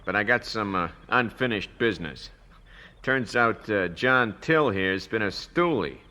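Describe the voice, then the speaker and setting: A man playing a menacing, sardonic kidnapper boss in a 1970s radio drama, gruff and mocking. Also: on the low side, lively intonation, measured pace, sharp tone.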